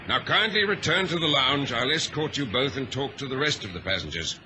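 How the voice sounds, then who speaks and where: An actor in a vintage radio drama playing an authoritative ship's captain who gives clipped, formal orders. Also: male voice, medium pitch, lively intonation, a little fast, close to an everyday voice. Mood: stern, dismissive. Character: commanding male captain of a troubled passenger ship, privately uneasy. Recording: old radio drama recording, faint noise.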